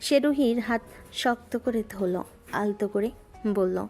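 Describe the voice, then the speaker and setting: Woman narrating a romance in a fairly high voice. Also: unhurried, darkish tone. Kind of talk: scripted storytelling narration. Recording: music bed underneath.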